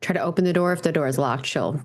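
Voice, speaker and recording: Female tabletop player, quite low-pitched voice, casual game talk. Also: fast talker. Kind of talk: casual game chat, describing a character's actions.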